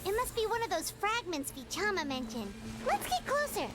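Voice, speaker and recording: A woman's voice: extremely high pitch, very animated, normal speed, heavy background noise. A woman voicing a spirited, perky young girl adventurer in a video game, eager, caring and emphatic. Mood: excited. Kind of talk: scripted video game voice acting.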